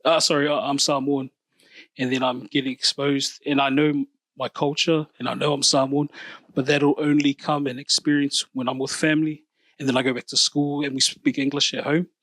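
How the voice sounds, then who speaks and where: A man, a podcast co-host answering questions thoughtfully, pausing and correcting himself. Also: mid-range voice, flat delivery, a bit bright, no room sound. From New Zealand. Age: 30s. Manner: thoughtful, hesitant, self-correcting. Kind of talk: reflective interview answers.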